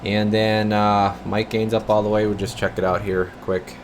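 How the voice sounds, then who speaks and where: A man with a fairly low voice talks casually through a hands-on technical demo. He is methodical and matter-of-fact, like a technician at work. A faint steady hum of room noise sits behind him. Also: normal speed. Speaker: radio technician, channel host.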